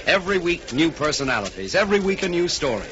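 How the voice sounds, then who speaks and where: A man's voice: a little high, big pitch swings, quick pace, lo-fi sound, background noise. A man working as a 1940s radio announcer and narrator, with a polished, theatrical scripted delivery. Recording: band-limited 1940s radio recording. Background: music.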